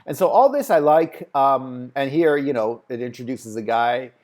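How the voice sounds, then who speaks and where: A man talks through a screen share at his desk in a relaxed, rambling way. He thinks aloud and fills gaps with "um" and "uh," restarting his sentences as he goes. His voice sits at an ordinary middle pitch. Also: normal speed. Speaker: host reviewing a website. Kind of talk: casual screen-share critique.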